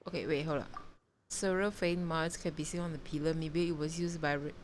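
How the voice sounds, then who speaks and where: A woman game streamer giving casual live commentary and reading game text aloud in a halting, reactive way. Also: low-pitched, fairly even intonation, slight background noise. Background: game music.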